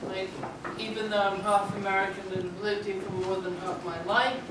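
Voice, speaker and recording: A woman's voice: mid-range voice, steady tone, normal speed. A woman artist with a British accent chatting about her work to a gallery audience, telling stories with a few fillers. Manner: chatty, storytelling, occasional fillers. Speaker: exhibiting artist. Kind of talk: informal artist talk. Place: gallery room, speaking without microphone. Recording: echoey room from far off, noisy.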